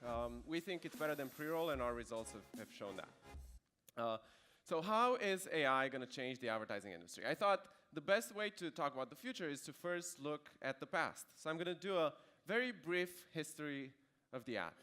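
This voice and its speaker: A man's voice: fairly high pitch, expressive, fairly quick, bright, forceful tone, faint music behind. A man in his thirties with a Romanian accent, giving a conversational conference talk with dry asides. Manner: conversational with dry jokes and fillers. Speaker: conference speaker. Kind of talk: keynote presentation.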